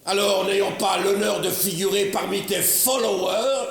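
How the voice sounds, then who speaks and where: A man's voice: high voice, a little slow, gravelly. An actor playing a condescending middle-aged politician father, ironic and mock-polite, in a stage comedy. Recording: very echoey stage recording.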